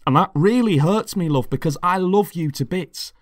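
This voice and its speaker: A man's voice: high-pitched, expressive, normal speed, no echo, voice pushed up. A man playing a volatile, possessive boyfriend who pleads and menaces in a dramatic script reading. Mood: hurt, pleading.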